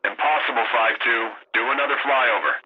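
A man playing a gruff, impatient military base commander in a video game, talking curtly through a radio filter. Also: medium pitch, a little fast. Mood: impatient, irritated. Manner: gruff, curt, swearing. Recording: dull, noisy military radio.

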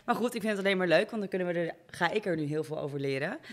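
A woman's voice: on the low side, lively intonation, very fast. A young adult woman hosting a conversational interview and putting questions to her guest. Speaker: interviewer, host. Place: hair salon, indoors. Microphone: studio microphone on a stand, close.

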